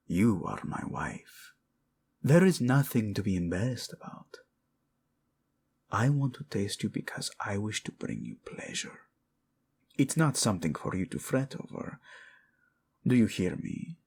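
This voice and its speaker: Man acting a husky, slow-spoken romantic prince. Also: fairly low pitch, big pitch swings, darkish tone, exaggerated voice. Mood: seductive, tender. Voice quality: breathy, husky.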